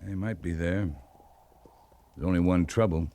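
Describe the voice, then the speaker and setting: A frontier cavalry captain with a fairly low voice. He is steady and sparing with words, carrying quiet authority in classic radio western style. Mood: firm, wary. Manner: measured, understated authority. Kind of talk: old-time radio western acting.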